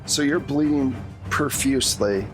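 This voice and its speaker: A man's voice: medium pitch, measured pace. A man narrating a tabletop roleplaying game as game master, describing scenes and putting questions to players. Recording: music behind the voice.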